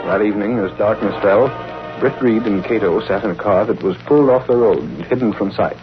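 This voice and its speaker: A man's voice: lowish voice, fairly quick. A man narrating an old-time radio drama in a scripted announcer style. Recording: muffled old radio recording. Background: loud music.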